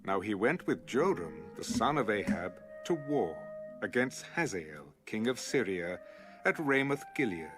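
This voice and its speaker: A man narrating an audio Bible in a measured, dramatic storytelling manner. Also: on the low side, sing-song, music in the background. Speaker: scripture narrator.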